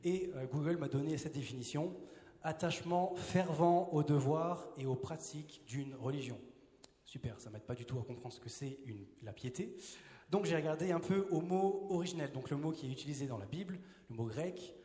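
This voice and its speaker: A young man in his twenties preaches to a youth crowd, chatty and playful, often tossing questions back to the audience. His voice sits a bit high and sounds mellow. The hall is big and roomy, with a long reverb trailing each phrase. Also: animated, moderate pace. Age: 20s. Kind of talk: casual joking sermon to a youth crowd. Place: large hall with a live audience. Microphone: handheld mic.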